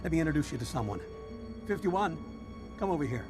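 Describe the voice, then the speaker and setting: An actor playing a frustrated, battle-worn prison officer in a video game, brisk and practical. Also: male voice, fairly high pitch, big pitch swings, music in the background. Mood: businesslike. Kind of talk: video game character acting.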